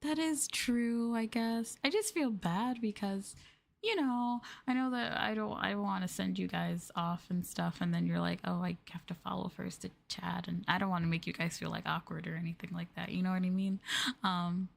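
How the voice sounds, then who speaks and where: A woman streamer chatting softly in an ASMR livestream style, gentle and hesitant, sometimes drifting into a whisper. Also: lowish voice, steady tone, moderate pace, vocal fry. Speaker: streamer, VTuber host.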